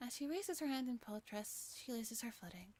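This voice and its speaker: A woman reads a story aloud in a soft-spoken ASMR style, gently and with an occasional stumble. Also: a little high, expressive, normal speed, slight background noise. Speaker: streamer reading a visual novel aloud.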